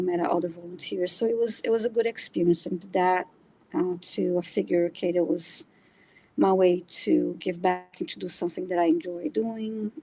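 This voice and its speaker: Middle-aged woman, Brazilian Portuguese accent, lowish pitch, hesitant relaxed guest talk. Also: slight background noise. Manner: hesitant, many fillers and restarts. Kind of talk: casual interview chat.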